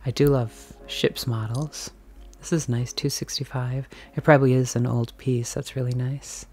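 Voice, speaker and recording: A woman filming herself as she browses a large antique and thrift shop, chatting casually and musing aloud, sometimes dropping to a soft whisper. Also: rumbling low, fairly even intonation, moderate pace. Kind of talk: casual walk-and-talk commentary. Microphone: handheld camera mic, filming as she walks.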